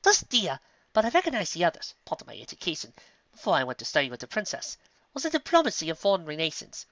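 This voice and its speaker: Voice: medium pitch, huge pitch swings, fast talker, fairly bright tone, no room sound, highs cut off, voice pushed up. A performer voicing a prim, serious, educated female pony musician who speaks formally and precisely in a story reading. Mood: matter-of-fact.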